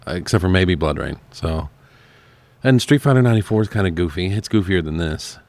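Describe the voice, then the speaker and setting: A man's voice: deep voice, animated, a little fast, no echo. A man chatting in a casual, informal, easygoing way as co-host of a podcast. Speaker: podcast co-host reviewing movies. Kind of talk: casual chat.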